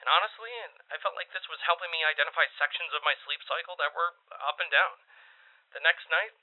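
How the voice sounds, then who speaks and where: A man playing an anxious amateur podcaster in a found-footage audio drama, rambling haltingly through a confessional monologue. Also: on the high side, close to an everyday voice. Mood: unsettled, defensive. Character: anxious man recording a confessional podcast about eerie sleep noises. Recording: muffled, tinny radio or phone-like sound.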